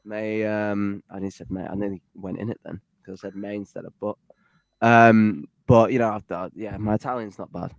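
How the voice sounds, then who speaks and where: A man's voice: on the low side, steady tone, moderate pace, no echo, highs cut off. A young man, a guest in a casual livestream chat, rambling with jokey, self-deprecating asides.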